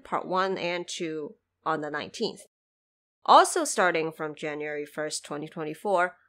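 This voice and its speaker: A woman from China reading a scripted announcement to listeners as host and producer, with emphatic, clearly structured delivery, recorded in a bedroom home studio. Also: on the low side, fairly bright tone. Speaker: podcast host and audio drama producer.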